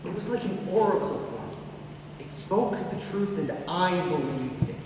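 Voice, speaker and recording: A man's voice: high-pitched, expressive, measured pace. A young male amateur actor playing an earnest, obsessive teenage boy in a stage monologue. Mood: earnest, confiding. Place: school theatre stage, recorded from the audience. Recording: noisy, very echoey hall from far off.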